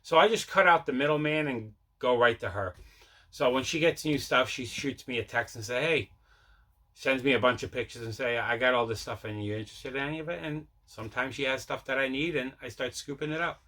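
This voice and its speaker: A man's voice: medium pitch, a little fast, bright, forceful tone. A man hosting a casual vlog about his collection, rambling and repeating himself as he talks. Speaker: host of a mail day collecting vlog. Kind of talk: casual chat showing movie pickups.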